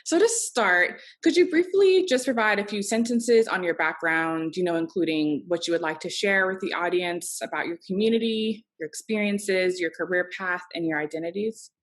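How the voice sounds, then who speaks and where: A woman's voice: lowish voice, moderate pace, hardly any pauses. Upbeat woman hosting a video-call interview. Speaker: host. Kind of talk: scripted interview introduction. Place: home office, video call. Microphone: video call computer mic.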